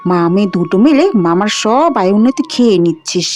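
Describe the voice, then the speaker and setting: A woman voicing a cruel, resentful aunt in an animated fairy tale, scolding and complaining. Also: medium pitch, fairly quick, soft background music, lightly acted. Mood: angry scolding. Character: nagging, cruel aunt resentful of her dependants.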